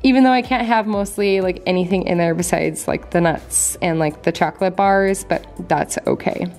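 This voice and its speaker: A woman's voice: on the low side, moderate pace. A young adult woman chatting casually to viewers in a voice-over, enthusiastic and friendly. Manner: chatty, enthusiastic, addresses viewers as you guys. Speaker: home vlogger. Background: soft background music.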